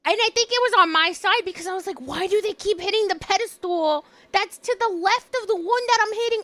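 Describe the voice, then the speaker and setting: Hoarse young woman streamer, very high. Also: dry recording. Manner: animated storytelling, laughs often, acts out quotes. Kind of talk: casual live-stream chat.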